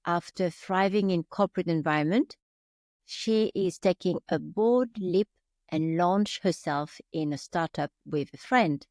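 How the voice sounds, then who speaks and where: A woman's voice: on the low side, a little slow, a little mellow, dry recording, studio quality. Upbeat woman hosting a podcast. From French-Vietnamese heritage. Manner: upbeat, chatty, many fillers. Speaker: podcast host. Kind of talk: podcast interview.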